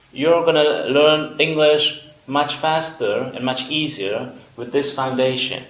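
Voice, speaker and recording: A young man with a Vietnamese accent, a native Vietnamese speaker teaching English in a careful, deliberate video lesson. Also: on the high side, a bit bright, echoey room, low-quality recording. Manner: careful, deliberate explanation with pauses and fillers. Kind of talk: slow instructional video lesson.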